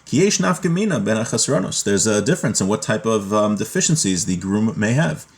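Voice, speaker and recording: A man's voice: on the low side, lively intonation, a little fast. A man giving an informal religious law lesson, reading from a text and explaining it in plain, conversational terms. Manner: explanatory, moving between reading a text aloud and plain paraphrase.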